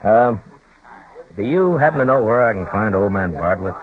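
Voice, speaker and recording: A man playing a deep-voiced frontier marshal. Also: close to an everyday voice. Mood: probing. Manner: calm, measured, questioning. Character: steady, firm frontier lawman marshal. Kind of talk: 1950s radio drama acting. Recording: tinny old radio broadcast.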